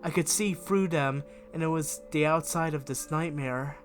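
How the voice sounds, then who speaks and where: A man's voice: a little high, moderate pace, soft background music. A man reading a diary-style horror story aloud as scripted narration, with expression. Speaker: fan fiction reader and video creator.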